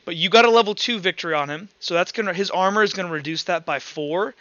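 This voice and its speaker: A man's voice: high-pitched, fast, sharp tone, no room sound, highs cut off. A man casually running a tabletop roleplaying game, rambling through rules and muttering as he counts and looks things up. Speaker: game master. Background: background music.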